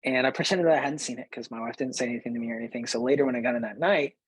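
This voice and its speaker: Male host, late thirties, slightly husky and close-recorded, conversational storyteller with emphatic repeats. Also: mid-range voice, racing, dry recording, rough recording. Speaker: host, business coach. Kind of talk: personal storytelling to listeners.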